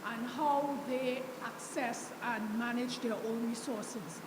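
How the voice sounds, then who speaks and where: A woman's voice: a little high, steady tone. Caribbean woman speaking from the floor. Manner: polite, measured, thoughtful. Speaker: audience member asking a question. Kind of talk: question from the floor. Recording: echoey hall with audible background noise.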